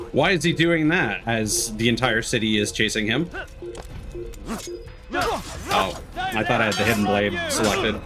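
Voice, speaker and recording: A man's voice: fairly low pitch, very animated, slow pace, pauses often. A man giving casual, easygoing gameplay commentary as a streamer, musing aloud in an amused way. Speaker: game streamer. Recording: game music behind the voice. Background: video game music.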